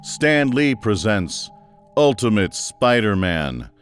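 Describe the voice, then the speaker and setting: A man's voice: on the low side, big pitch swings, slow pace, faint music behind. A man reading scripted narration for a radio drama.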